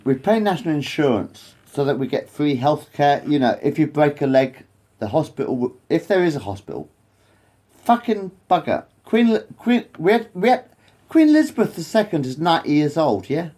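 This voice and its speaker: A man's voice: a little high, sing-song, moderate pace, a little mellow. A man in his late forties rambling to camera, repeating himself, swearing and wandering off topic. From England. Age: 40s. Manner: repetitive, swears often, digresses. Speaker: vlogger.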